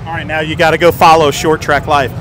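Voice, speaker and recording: A man's voice: a little high, little pitch movement, quick pace. A middle-aged man, a pit reporter, joking and teasing in a casual chat at an outdoor racetrack. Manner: playful, teasing. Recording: loud outdoor background noise. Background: loud pit ambience.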